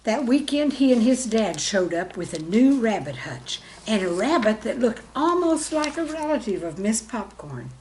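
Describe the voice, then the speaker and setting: A woman's voice: on the high side, very animated, normal speed, few pauses, darkish tone, a little hiss or hum, poor audio. A woman reading a children's story in a simple, friendly way, as if speaking directly to young listeners. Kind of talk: gentle scripted storytelling for children.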